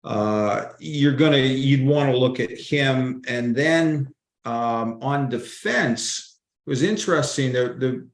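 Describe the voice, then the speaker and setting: A man's voice: medium pitch, slow. A folksy man talks through his picks in an easygoing way, leaning on old sayings. His voice sits in a middling register and echoes around a roomy space, as heard over a remote call. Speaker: guest writer. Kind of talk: casual podcast roundtable. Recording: roomy echo.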